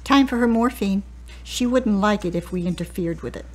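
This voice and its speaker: An actress playing a kindly, matter-of-fact hospital nurse in an audio drama, gentle and practical. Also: female voice, mid-range voice, normal speed, natural voice, rough recording. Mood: gentle, businesslike.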